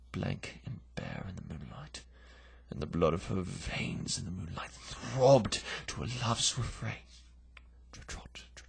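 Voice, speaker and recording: A man giving a theatrical, dramatic poetry recitation in a breathy, gravelly voice, moving between hush and forceful declamation. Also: lowish voice. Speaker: poetry reader.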